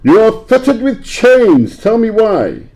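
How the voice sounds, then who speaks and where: A man playing a grumpy, frightened old miser in an audio play, theatrical and shifting between gruff scorn and pleading. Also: high voice, sing-song, slow, a little mellow, heavily acted. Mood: terrified, pleading. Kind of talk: library audio play acting.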